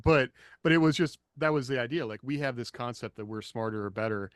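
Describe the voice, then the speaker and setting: A man's voice: medium pitch, fairly quick, clear, resonant tone, no echo, clean studio sound. A man co-hosting a podcast in casual panel conversation. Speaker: podcast co-host.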